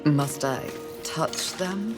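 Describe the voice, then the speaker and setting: A woman's voice: low voice, lively intonation, extremely slow, over loud music, exaggerated voice. An actress playing a haughty, battle-hungry female horseman of the Apocalypse in a video game, speaking with imperious, sardonic disdain. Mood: haughty, dismissive. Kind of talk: theatrical video game voice acting.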